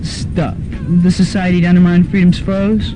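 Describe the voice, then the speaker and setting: A man's voice: high voice, little pitch movement, normal speed, lightly acted. A young man playing a solemn, zealous and slightly awkward campus revolutionary in a student radio drama. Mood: flattered, flustered. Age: young adult. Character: self-serious young male campus revolutionary. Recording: dull lo-fi radio with music behind.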